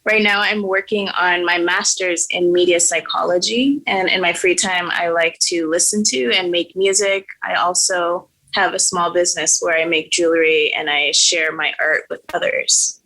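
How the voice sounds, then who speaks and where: A woman's voice: lowish voice, fairly even intonation, moderate pace, few pauses, pushed and bright, rough recording. A woman in her early twenties giving a casual introduction as a podcast team member.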